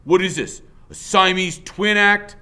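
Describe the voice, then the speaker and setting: A man voicing a big, mocking, menacing biker gang boss in a storybook, with a rough colloquial drawl. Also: high-pitched, unhurried, sharp tone, voice pushed up. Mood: jeering.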